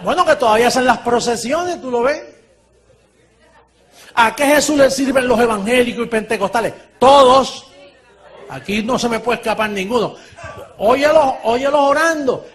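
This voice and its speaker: A high-voiced man preaches a sermon to a congregation. He swings from low, quiet asides to loud shouted points and often laughs between phrases.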